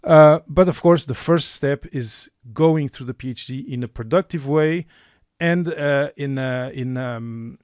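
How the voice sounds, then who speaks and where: A man hosting a podcast interview, speaking in a halting, encouraging way with many restarts. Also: mid-range voice, darkish tone, clear, resonant tone, no room sound, studio quality. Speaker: podcast host.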